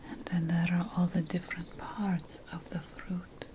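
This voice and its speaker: A woman playing an ancient witch priestess, a patient and lofty potion scholar, in a hushed, deliberate ASMR fantasy roleplay. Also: lowish voice, flat delivery, natural voice. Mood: hushed, instructive. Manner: hushed, deliberate, lingering over lists.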